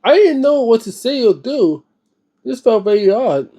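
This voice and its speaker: Guy w a pretty high but mellow voice reading a book out loud, kinda stumbles, chimes in casually like hes talking to u. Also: big pitch swings, a little slow. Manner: stumbles over words while reading, informal interjections. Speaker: host reading a book aloud and reacting. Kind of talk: read-aloud narration with casual, off-the-cuff reactions.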